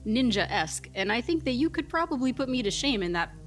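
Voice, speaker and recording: A woman's voice: on the high side, very animated, brisk, soft background music. Chatty woman improvising at a game. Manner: chatty, thinking aloud. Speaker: tabletop game player. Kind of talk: casual improvised role-play.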